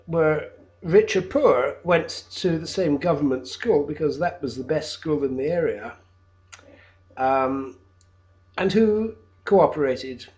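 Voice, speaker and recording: A man in his fifties, a teacher talking to camera from his flat in a hesitant video job application, with a loud voice and frequent ums and uhs. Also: a little high. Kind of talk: unscripted video application monologue. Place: his own flat, indoors.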